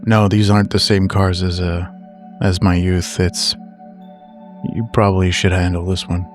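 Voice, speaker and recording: Guy roleplaying older resigned fugitive dude in a horror game, monotone low voice, halting n understated. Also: close to an everyday voice. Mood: hesitant. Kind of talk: in-character tabletop roleplay. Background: background music.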